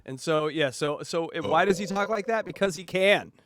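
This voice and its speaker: A fairly high-voiced man hosting a casual reaction podcast. He rambles loosely and swears freely, and his voice sounds dry and close with no room around it. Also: moderate pace, a bit bright, dry recording. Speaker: podcast host.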